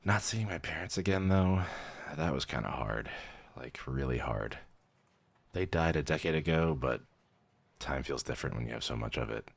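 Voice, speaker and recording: A man's voice: extremely low pitch, animated, normal speed, vocal fry, heavily acted. A man playing a weary, friendly young vampire mentor in a roleplay audio, dryly professional yet casual and a little hesitant. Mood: wistful. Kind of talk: roleplay audio, conversational acting.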